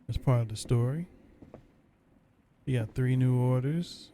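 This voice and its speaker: A man's voice: medium pitch, measured pace, dark tone, a little hiss or hum. A man giving casual, laid-back gameplay commentary, musing to himself as he plays. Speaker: let's play gamer commentating.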